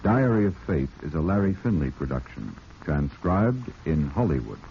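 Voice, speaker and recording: Deep-voiced male vintage radio announcer. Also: big pitch swings, normal speed, creaky. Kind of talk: 1940s radio credits announcement. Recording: muffled, hissy old radio recording.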